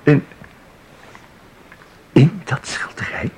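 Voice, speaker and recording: A man's voice: extremely slow, a little mellow, slight background noise. An actor playing a timid, polite, flustered man in a radio drama, hesitating and stammering as he repeats himself. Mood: baffled, uneasy. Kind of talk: Dutch radio drama acting.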